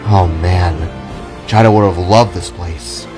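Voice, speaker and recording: A man's voice: deep voice, takes it slowly, over music, pitched below the performer's own voice. A man voicing an awkward, curious, easily awed male adventurer in an amateur audio drama. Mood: wistful wonder. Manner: mumbling, whispering, calling out in complaint.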